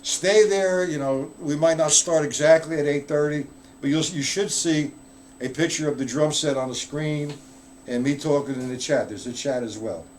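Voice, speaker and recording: Relaxed man teaching drums live. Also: fairly high pitch, normal speed, slight background noise. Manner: loose, conversational, answers viewers in chat. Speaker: drum teacher. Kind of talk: live-streamed drum lesson.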